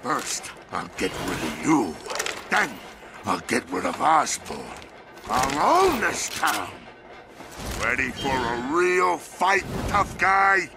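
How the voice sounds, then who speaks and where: An actor playing a brutal, taunting mob boss with a gravelly voice and slangy tough-guy talk in a noir video game. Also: medium pitch, sing-song, slow pace. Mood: aggressive, threatening. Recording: loud music behind the voice.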